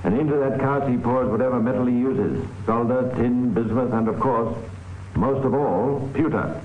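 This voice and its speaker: A man's voice: medium pitch, moderate pace, lightly acted. A man playing an authoritative narrator and guide in an old radio crime drama. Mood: worldly, explanatory. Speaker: narrator and host. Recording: dull, echoey, noisy old radio recording.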